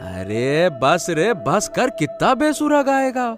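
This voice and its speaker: A man voicing an honest village labourer in an animated moral story, admonishing and exclaiming. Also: high voice, slow pace, over music, heavily acted. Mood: scolding. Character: honest, scolding village labourer, weary master of a donkey.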